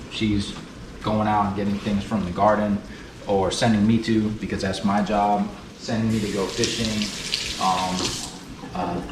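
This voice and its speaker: Low-voiced man chatting over a sizzling pan. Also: noisy background. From United States. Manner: relaxed, chatty, fond of saying beautiful. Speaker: cooking channel host. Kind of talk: casual cooking tutorial. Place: kitchen with food frying on the stove. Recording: roomy sound, mic set at a distance, sizzling pan.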